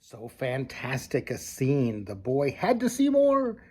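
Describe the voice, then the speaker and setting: An older man reading a children's storybook aloud like a grandfather, with expressive, deliberate phrasing and casual asides. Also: mid-range voice, breathy edge.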